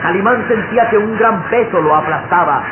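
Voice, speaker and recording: High-voiced male radio serial narrator. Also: a little fast. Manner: dramatic, declamatory. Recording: narrow, noisy vintage radio recording.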